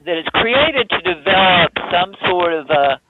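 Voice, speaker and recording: A man with a slightly raised pitch talks at a very slow, halting pace, stopping to search for his words. He comes through a thin, phone-like line with clear background noise. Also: sing-song. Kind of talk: casual discussion.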